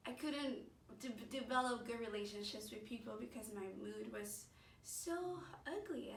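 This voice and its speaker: A woman in her thirties from the Bay Area telling her own health story as a testimonial, halting often with pauses and fillers. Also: fairly high pitch, a bit bright, slight background noise. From San Francisco Bay Area, USA. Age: 30s. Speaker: patient giving a testimonial. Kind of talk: personal testimonial. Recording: roomy, mic set at a distance.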